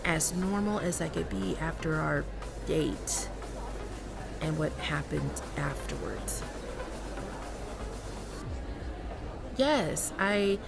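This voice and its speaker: A woman's voice: sits low, lively intonation, slow pace, creaky, music in the background, full character voice. Sassy, guarded actress as a woman, crackly voice. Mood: guarded, exasperated. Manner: teasing, sarcastic, flustered when caught off guard. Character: sassy, guarded dominant woman bickering with a coworker. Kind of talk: one-sided audio roleplay drama.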